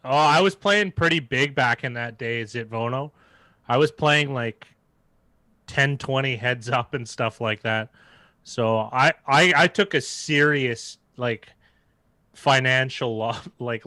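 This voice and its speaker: Bright-voiced man chatting over sports, close and dry. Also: mid-range voice, no echo. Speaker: sports livestream co-host. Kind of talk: casual sports watch-along chat.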